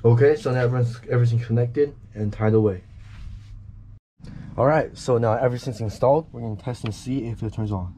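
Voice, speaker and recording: A man's voice: medium pitch, fairly even intonation, brisk, warm tone. A man giving a casual, step-by-step how-to narration as he works with his hands. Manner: plain instructional, talking while working with his hands. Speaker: host of a DIY boat how-to video. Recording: clearly noisy on-location recording.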